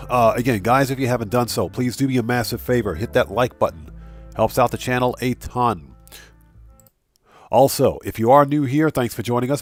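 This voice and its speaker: A man's voice: mid-range voice, dry recording, studio quality. A man hosting a gaming live stream, greeting viewers by name in a casual, friendly way, sounding a bit nasal from a cold. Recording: music playing under the voice. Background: background music.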